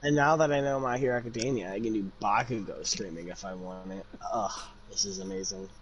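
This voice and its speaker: A man's voice: on the low side, fairly quick, muffled recording. A young adult man chatting casually and jokingly on a livestream, poking fun at himself and others. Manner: joking, self-mocking banter. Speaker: fan fiction reader and narrator. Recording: noisy amateur livestream microphone.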